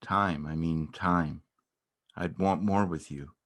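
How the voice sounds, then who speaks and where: Stage drama: actor as worried, devoted man, low-pitched voice, earnestly pressing for answers. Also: steady tone, slow pace, a bit bright. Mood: subdued, uneasy. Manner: earnest, questioning, repetitive. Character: anxious, caring man pressing his ill partner for answers. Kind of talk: dramatic reading of stage dialogue.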